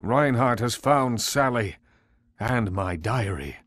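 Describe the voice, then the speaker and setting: A man playing a grave mentor in a video game, speaking in a measured, portentous way. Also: medium pitch, animated, a bit bright, no echo, studio quality. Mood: solemn urging. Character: grave, solemn male mentor urging the hero on. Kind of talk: video game cutscene acting.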